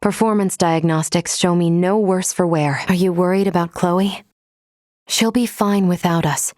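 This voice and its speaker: Woman doing a calm dutiful lady android for a game, lowish voice, very measured and formal, super dry recording. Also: no room sound, lightly acted. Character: composed, formal female android crew member, calm and dutiful. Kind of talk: scripted video game character lines.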